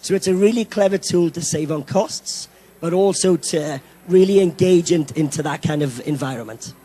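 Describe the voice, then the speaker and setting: Hoarse Welsh man presenting on stage. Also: fairly high pitch, moderate pace, mellow. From Wales. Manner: upbeat, self-deprecating, filler-heavy. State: hoarse, lost his voice. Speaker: event technology presenter. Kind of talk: conference presentation. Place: conference hall.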